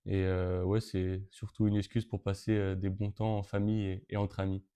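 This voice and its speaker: A man's voice: low-pitched, flat delivery, normal speed, warm tone, clean tone. A young man of nineteen from Brittany, answering interview questions with frequent hesitations and restarts. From Brittany, France. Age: teens. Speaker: interviewee, event co-organizer.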